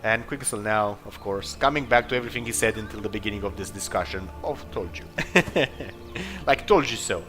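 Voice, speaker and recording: A man's voice: on the low side, brisk. A man giving casual, rambling game commentary, hesitant and hedging as he talks. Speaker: gameplay video host. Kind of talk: casual game commentary, reading dialogue aloud. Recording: game music behind the voice. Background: game music.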